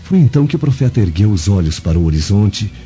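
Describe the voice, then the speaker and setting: A man's voice: on the low side, very animated, quick pace, dark tone, lo-fi sound, soft background music. An actor playing an earnest, awed Roman nobleman in a radio drama, telling his account solemnly and respectfully. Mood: reverent. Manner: solemn, deferential storytelling. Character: earnest, awed Roman senator, humble and reflective.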